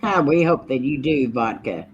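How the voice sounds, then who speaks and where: A woman's voice: a bass voice, expressive, normal speed, dull audio. A woman from Texas co-hosting a live stream in casual group chat. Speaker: co-host of a paranormal live stream. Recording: faintly noisy live stream audio.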